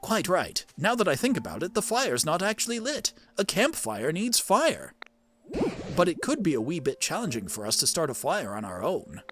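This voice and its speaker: A man voicing a kindly, warm-hearted old professor creature in a video game, with folksy, avuncular phrasing. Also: on the high side, wildly swooping pitch, normal speed, faint music behind. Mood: explaining.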